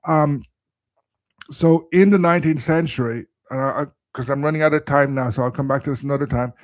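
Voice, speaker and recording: A man's voice: mid-range voice, brisk, no room sound. A man giving an informal live history talk, explaining conversationally and thinking aloud, his voice strained by a coming cold. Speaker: history presenter.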